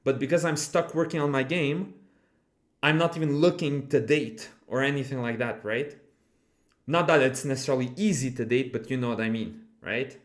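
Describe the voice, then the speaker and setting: A man's voice: mid-range voice, normal speed, echoey room. A man in his twenties chatting casually on a live stream, rambling with lots of fillers and swearing as he answers his chat. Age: 20s. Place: home room.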